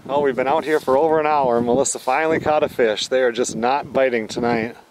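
A middle-aged man chatting casually to his camera outdoors by a lake in the woods. His voice sits at an ordinary pitch and he talks in a relaxed, matter-of-fact way. Faint outdoor noise runs underneath. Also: fast. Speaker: vlogger. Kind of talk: casual outdoor vlog narration. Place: outdoors at a lakeside camp in the woods.

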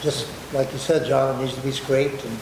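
Man, direct and practical, flat voice, roomy hall. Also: medium pitch, normal speed. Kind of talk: meeting discussion. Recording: echoey hall, noisy room.

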